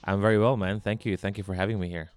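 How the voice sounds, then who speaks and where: A man's voice: on the low side, steady tone, fast, smooth, no room sound. A man from Hong Kong talking casually and telling anecdotes as a bar founder being interviewed.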